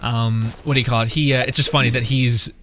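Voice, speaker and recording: A man giving casual, joking, excitable gameplay commentary as a streamer, with plenty of swearing. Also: medium pitch, fast, a little hiss or hum. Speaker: video game streamer.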